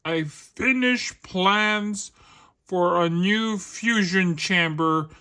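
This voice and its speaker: A woman voicing a grave male alien mad scientist with drawn-out, theatrical delivery, in a children's storybook read-aloud. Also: lowish voice, sharp tone, exaggerated voice. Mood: solemn planning.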